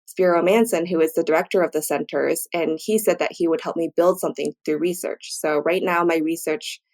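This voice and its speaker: Woman with a low, creaky voice. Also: fairly even intonation, brisk, a little mellow. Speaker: guest, physician and researcher. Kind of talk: conversational interview answers.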